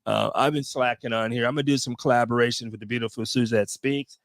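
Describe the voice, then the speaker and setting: Man, late 50s, relaxed veteran vlogger, meandering delivery with fillers, mid pitch, dry close sound. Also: fast, dry recording. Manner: rambling, lots of fillers and restarts. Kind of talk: casual talk to camera.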